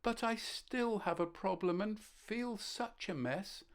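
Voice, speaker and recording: A woman's voice: medium pitch, slow pace, close to an everyday voice. A woman voicing a timid, anxious young female ladybird in a storybook, earnest and close to tears. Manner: sobbing, earnest, self-conscious. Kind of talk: storybook character voice.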